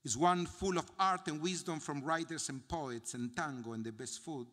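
An older man with an Argentine Spanish accent, a rabbi giving a solemn memorial sermon from notes, with measured phrasing and heavy pauses. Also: fairly high pitch, bright, forceful tone. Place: large synagogue sanctuary. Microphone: gooseneck podium mic. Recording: long hall reverb from the sanctuary.

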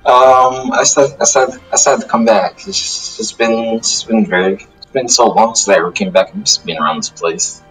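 A man plays a vengeful but apologetic demon who keeps to himself. The voice is low and wary, halting and doubling back on phrases, in an improvised anime game roleplay. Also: fairly bright tone, soft background music, lightly acted, poor audio. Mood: hesitant, wary. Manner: halting, repeats his phrases.

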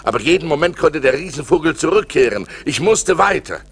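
An actor playing a seafarer who recounts his perilous adventures in a theatrical radio play style, moving between hushed and urgent. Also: fairly high pitch, very animated, brisk, husky, exaggerated voice. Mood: urgent, fearful. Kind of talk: dramatic radio play narration. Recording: vinyl record transfer with music behind.

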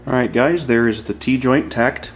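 A man's voice: medium pitch, measured pace, soft background music. A man explaining a hands-on demonstration in a casual, informal way, as an instructor talking to viewers.

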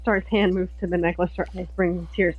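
A woman's voice: lowish voice, level tone, fairly quick, husky, dry recording. A woman player chatting casually and narrating an online roleplay, on a slightly dull lo-fi recording.

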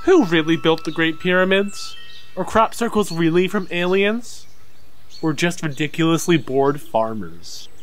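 A man plays a young male alien fanatic, cocky and easily excited. He talks in a brash, argumentative way, as a character in an audio drama. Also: a little high, a little slow.

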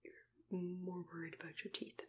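Young adult woman, ASMR dentist roleplay. Whispery and soft-spoken, gentle, reassuring, close-miked. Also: lowish voice, flat delivery, brisk.

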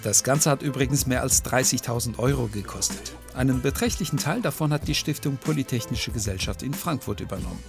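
A man reading a scripted documentary narration in a calm, measured way. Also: medium pitch, gravelly. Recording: music bed behind voice-over.

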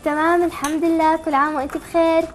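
A woman's voice: very high voice, little pitch movement, fast, faint music behind. A woman hosting a chatty live TV call-in, asking simple, encouraging questions. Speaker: TV show host.

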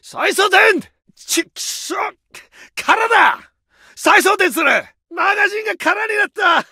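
An actor playing a Japanese soldier and tank crewman, shouting clipped military commands and combat callouts in a game. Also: very high-pitched, measured pace, exaggerated voice.

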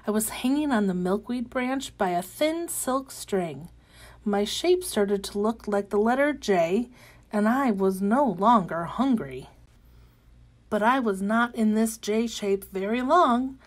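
A woman voicing a cheerful, wide-eyed young caterpillar turned butterfly in an expressive, gentle children's storybook reading. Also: mid-range voice, slow. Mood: gentle wonder. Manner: gentle, expressive, with excited exclamations. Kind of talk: animated read-aloud of children's picture book.

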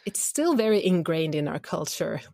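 Female interview guest, lower register, informal and laughs easily, dry sound. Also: very animated, moderate pace, dark tone, dry recording. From Scandinavia. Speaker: podcast guest, audio drama creator and voice actor. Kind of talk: casual interview chat.

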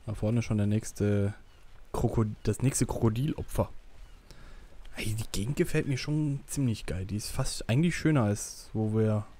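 A man chatting through a co-op survival game with a friend, joking around. He breaks into loud, excited shouts when something happens in the game. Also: lowish voice, normal speed. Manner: jokey, excitable outbursts while fighting. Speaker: gameplay commentator. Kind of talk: casual co-op gameplay chat.

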